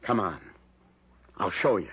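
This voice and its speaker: An actor playing a desperate, hapless husband in an old-time radio drama, pleading and protesting in plain working-class speech. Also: male voice, low voice, expressive, a little slow, very noisy. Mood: anxious, earnest. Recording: muffled vintage radio recording.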